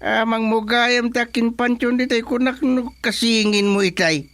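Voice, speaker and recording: An actor playing a forgetful, grumbling elderly father in an Ilocano comedy radio drama, with a Filipino accent and drawn-out, sighing interjections. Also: extremely high pitch, fairly even intonation, fairly bright tone, no echo. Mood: puzzled, weary.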